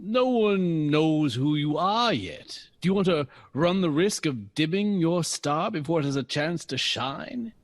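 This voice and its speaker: A man playing a suave, domineering older jazz bandleader in a period audio drama, husky and smooth-talking with showy, pompous phrasing. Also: a little high, expressive, measured pace, hardly any pauses. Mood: flattering, persuasive. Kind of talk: period radio drama acting.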